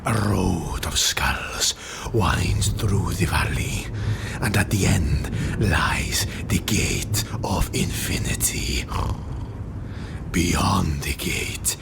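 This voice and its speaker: A man voicing a cruel, grim beast-creature in a fantasy audiobook, speaking in a hoarse whispering growl with ominous, drawn-out phrasing. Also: low-pitched, heavily acted. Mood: grave, ominous. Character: cruel old beast-creature Hadar, fanged, grim, menacing. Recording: noisy home recording.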